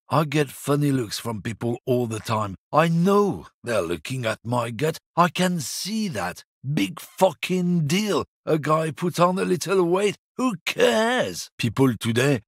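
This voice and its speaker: A man voicing a surly, bigoted middle-aged lorry driver in a video game, gruff and combative, swearing often. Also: medium pitch, no echo, studio quality. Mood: aggrieved. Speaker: game character.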